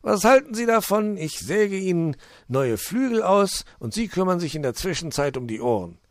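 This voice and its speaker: A cheerful, hospitable fox who loves to cook, with a bright, high voice far above the reader's own. His lines bounce up and down with polite, friendly warmth. The sound is dry and close. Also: sing-song, moderate pace, no room sound. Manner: warm, cheerful, politely formal. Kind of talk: storybook character voice.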